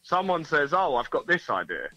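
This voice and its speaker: A young man answering interview questions, relaxed and hesitant with restarts. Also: on the high side, moderate pace, no room sound, lo-fi sound. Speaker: band member, guest.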